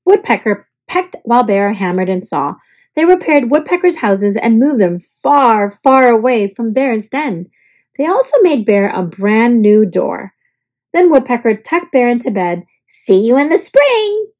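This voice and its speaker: A woman reading a children's picture book aloud in an expressive, scripted storytelling style. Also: a little high, measured pace. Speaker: storybook reader.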